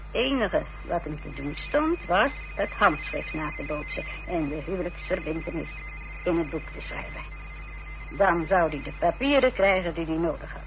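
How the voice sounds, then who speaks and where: A woman's voice: lowish voice, creaky. An actress playing an unrepentant village wife in a Dutch radio play, telling her story in a self-justifying way. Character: unrepentant, defiant village wife confessing her part in a fraud. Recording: dull old radio recording with hiss and hum.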